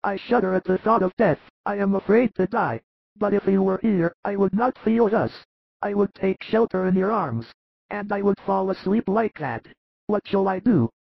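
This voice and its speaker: A man's voice: sits high, moderate pace, gravelly, no room sound, dull audio, voice pushed up, heavily acted. A man voicing a frail, dying man who pleads tearfully and fears death. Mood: weak pleading.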